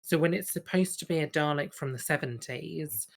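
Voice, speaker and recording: A woman's voice: low voice, normal speed, gravelly. A woman co-hosting a podcast, chatting casually about something she follows as a fan, with emphatic opinions. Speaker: podcast co-host. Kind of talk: casual chat, fan review.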